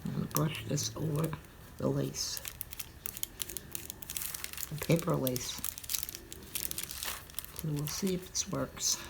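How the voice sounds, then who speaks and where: Low-voiced older woman, slightly stuffy. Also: fairly even intonation, slow, vocal fry, long pauses. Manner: chatty, thinking aloud while working. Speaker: craft tutorial host. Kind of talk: relaxed craft demonstration. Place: craft table at home, indoors. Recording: audible crinkling craft noise.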